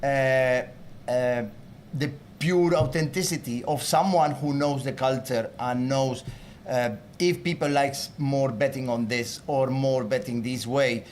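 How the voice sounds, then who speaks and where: A man from Spain with a Spanish accent, speaking on a panel in a casual, animated way. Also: medium pitch, slow pace, a little hiss or hum. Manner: animated, acts out quoted voices.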